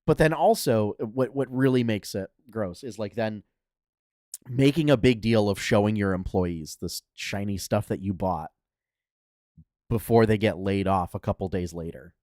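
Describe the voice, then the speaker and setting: A young adult man hosting a casual gaming news podcast, talking in a relaxed way with lots of fillers, a little nasal from a cold. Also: fairly low pitch, measured pace, no room sound, studio quality. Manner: laid-back, many fillers and restarts. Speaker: podcast host.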